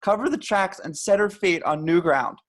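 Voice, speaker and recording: A man's voice: sits high, lively intonation, moderate pace. A man at an amateur table read playing a paranoid young stoner who rambles in grand, wordy pronouncements. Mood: grandly philosophical. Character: paranoid young male stoner, rambling anti-establishment philosopher.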